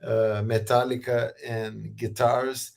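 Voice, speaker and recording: A man with a Brazilian Portuguese accent, calling in as a fan and speaking halting, hesitant English. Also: medium pitch, level tone, vocal fry. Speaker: caller, fan.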